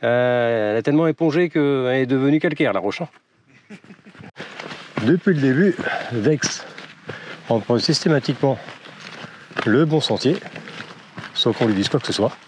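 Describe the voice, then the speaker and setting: A man's voice: mid-range voice, animated, normal speed, long pauses, background noise. A man with a slight cold, narrating a casual walking vlog on forest trails, chatty and rambling with many fillers and wry jokes. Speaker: vlogger walking with his dog.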